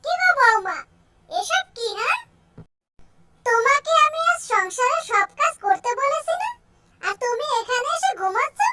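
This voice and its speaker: Woman acting a shrill, bossy older mother-in-law. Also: lively intonation, moderate pace, pauses often, no echo, full character voice. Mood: scolding. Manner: nagging, sharp, threatening. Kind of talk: cartoon dub acting.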